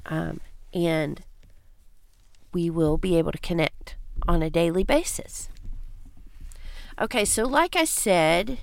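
A woman's voice: lowish voice, vocal fry, no room sound. A woman hosting a casual homesteading podcast, congested from allergies, chatting in a folksy, friendly way. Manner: folksy, chatty, says y'all. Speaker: podcast host.

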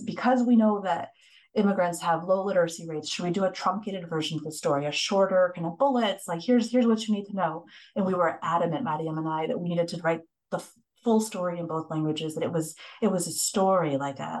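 A woman with a notably low voice talks through her reporting work as an interview guest. She tells it in quick, animated bursts and restarts her phrases often. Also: rough recording. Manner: fast, animated storytelling with many restarts. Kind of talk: casual interview talk.

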